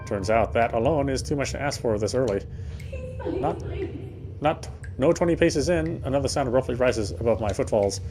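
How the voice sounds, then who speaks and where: A man's voice: medium pitch, darkish tone, a little hiss or hum. A man reading first-person game narration aloud in a dramatic storytelling style, now and then stumbling over words.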